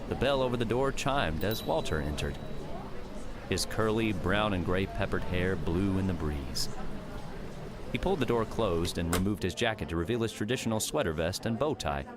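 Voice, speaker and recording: A man narrating a scripted audiobook story in a measured, dramatic storytelling manner. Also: low-pitched, animated, noisy background.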